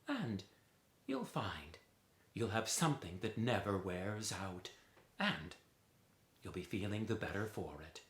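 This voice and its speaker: A man's voice: lowish voice, fairly bright tone, roomy sound. A man telling a folk tale as a theatrical storyteller, dramatic and rhythmic, drawing out repeated phrases. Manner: dramatic, rhythmic with drawn-out repetitions.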